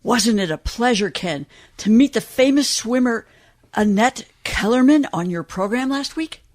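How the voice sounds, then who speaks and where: An actress playing a polished, gushing 1950s Hollywood commentator in scripted radio banter. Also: female voice, a little high, expressive, a little slow, darkish tone, dry recording, clean studio sound.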